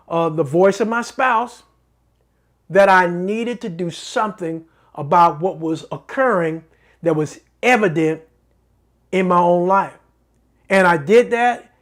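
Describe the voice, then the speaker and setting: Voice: mid-range voice, unhurried. Earnest preacherly talk-show host. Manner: earnest, preacherly, repeats phrases for emphasis. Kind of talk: faith-based teaching monologue.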